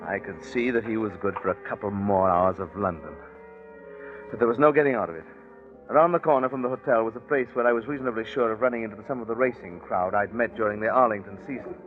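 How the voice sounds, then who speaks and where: A man's voice: fairly low pitch, quick pace, close to an everyday voice. A man playing a scheming gambler who narrates his own crime in a wry, confiding way, in 1940s radio suspense style. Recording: muffled 1940s radio broadcast with music.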